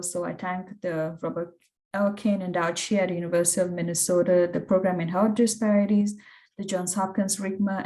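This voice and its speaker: A woman's voice: fairly low pitch, warm tone, low-quality recording. A woman with a Cameroonian English accent speaks as an academic presenter, walking through slides on a video call. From Cameroon, West Africa. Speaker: academic researcher, guest speaker.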